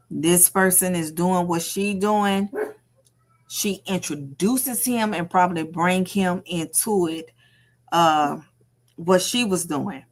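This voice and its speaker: A woman giving casual livestream gossip commentary, telling stories emphatically, acting out quotes and repeating phrases for effect. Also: on the low side. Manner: animated storytelling, acts out quotes. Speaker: livestream host.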